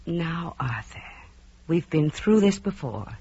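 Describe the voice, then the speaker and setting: Smothering, patronising wife for old-time radio thriller: husky, animated, emphatic, muffled sound. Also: low-pitched, slow pace, dry recording, full character voice. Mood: insistent, scolding. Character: possessive, mothering wife, condescending and stubborn. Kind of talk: mid-century radio drama acting. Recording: dull old radio recording.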